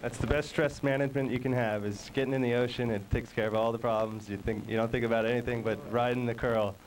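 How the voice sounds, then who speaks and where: Fast-talking upbeat male host. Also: mid-range voice, fairly even intonation, recorded from a distance, background noise. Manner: upbeat, chatty. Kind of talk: casual interview hosting.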